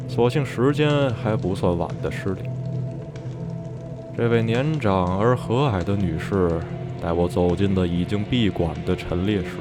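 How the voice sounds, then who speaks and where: An actor playing a reflective man who recounts a journey from his youth in measured, literary radio drama narration. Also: sits low. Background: music.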